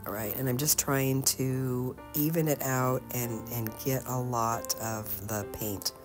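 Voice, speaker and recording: Casting: woman host for an informal craft tutorial, very deep and a bit hoarse, halting delivery, music underneath. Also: steady tone. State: slightly hoarse from being sick. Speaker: host of an art tutorial channel. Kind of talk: casual painting tutorial narration.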